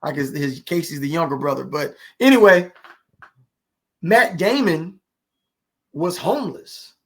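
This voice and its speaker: A man with a notably high-pitched voice talks in a conversational interview. He tells stories loosely, punctuating them with rhetorical questions and small check-ins to make his point. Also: a little slow. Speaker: podcast guest, actor.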